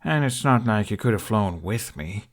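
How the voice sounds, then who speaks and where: A man voicing a wry, spirited boy dragon rider, quick with dry, exasperated banter. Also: on the low side, lively intonation, close to an everyday voice.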